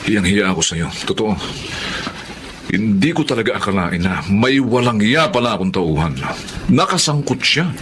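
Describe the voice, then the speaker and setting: An upright Filipino business owner in a melodrama, emphatic and quick to apologize. His voice is lowish and very expressive, with clear background noise. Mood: apologetic. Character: apologetic, upright male gas station owner and boss.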